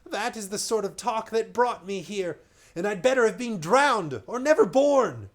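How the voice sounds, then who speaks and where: A frantic castaway, half mad with fear, shouts in a strained, high voice, as a man reads him out. He rants and gasps, pitched well above an everyday speaking voice, loud and wild. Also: animated, takes it slowly, full character voice. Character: terrified, raving shipwrecked man. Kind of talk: storybook reading.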